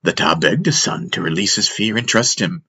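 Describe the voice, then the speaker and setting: A man narrating a fantasy audiobook in a measured, solemn, dramatic storytelling style. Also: low voice, bright, forceful tone, breathy edge.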